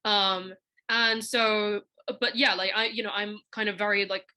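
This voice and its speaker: A woman's voice: mid-range voice, flat delivery, measured pace, pushed and bright. A young woman from Hong Kong hosting a casual chat, rambling with lots of "like" and "you know". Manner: chatty, fills with "like" and "you know". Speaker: podcast host. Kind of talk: casual conversation.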